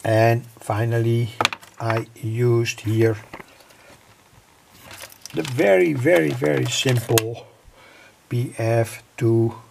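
A middle-aged man with a gravelly, husky voice giving an informal, halting technical explanation as a hobbyist presenter. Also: medium pitch, fairly even intonation, noisy background. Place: indoor home electronics workbench.

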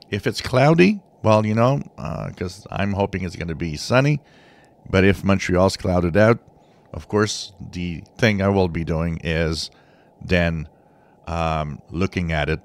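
A man with a low, gravelly voice talks through his subject informally as a channel host. His delivery is halting, with stretched words and a fry-laden edge. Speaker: radio hobby channel host. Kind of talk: informal explanatory commentary over a screen share.

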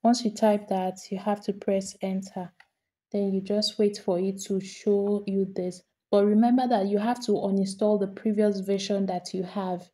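Mellow-voiced woman, level and unhurried, walking viewers through a computer setup one click at a time. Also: medium pitch. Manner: steady step-by-step instruction, repeats each step. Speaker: tutorial host. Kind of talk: screen-recorded software tutorial.

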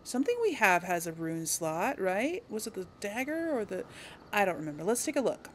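A woman's voice: mid-range voice, very animated, brisk, a little hiss or hum. A woman casually commenting on a game she plays, musing and thinking aloud. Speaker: gaming video host and player. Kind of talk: casual let's play commentary.

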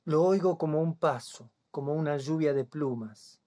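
A man in his fifties with a Buenos Aires accent reads poetry solemnly and deliberately, leaving long pauses. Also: a little high, mellow. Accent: Buenos Aires Spanish. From Buenos Aires province, Argentina. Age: 50s. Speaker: poet.

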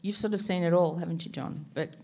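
A middle-aged Australian woman moderates a panel on a stage. Her voice sits a little low, and she reads out an introduction in a measured way into a handheld mic. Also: fairly even intonation, a little mellow. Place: stage before an audience.

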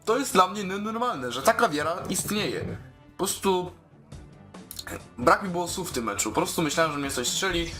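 A man commentating casually on his own video game play in an excitable, informal way, with speech. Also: high-pitched, moderate pace, over music. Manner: excitable, exclaiming, informal with swearing. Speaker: gaming YouTuber.

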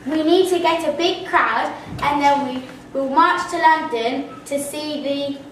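A woman's voice: high-pitched, a little slow. A schoolgirl playing a bold, scheming rebel peasant in an amateur puppet play. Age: schoolgirl, about 12. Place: school classroom. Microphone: distant camera. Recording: echoey classroom, distant, background noise.

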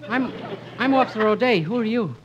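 Dim, eager, excitable policeman, very high voice, 1950s radio comedy. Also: fairly quick, muffled recording, background noise. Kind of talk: 1950s comic radio acting. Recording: old radio broadcast.

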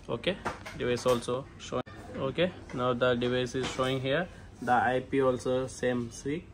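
A man with a medium-pitched voice presents a technical walkthrough as a non-native English speaker. He talks in clipped, halting instructions and repeats okay often. The phone recording has clear room noise behind him. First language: not English. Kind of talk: hands-on product setup walkthrough. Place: indoor shop or office.

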